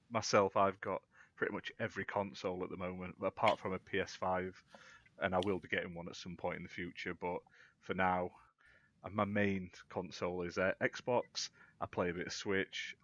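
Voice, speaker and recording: Very deep-voiced British man, slightly dull audio. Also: little pitch movement, brisk, a bit bright, dry recording. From United Kingdom. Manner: chatty, easygoing, laughs. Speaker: podcast co-host. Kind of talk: casual gaming chat.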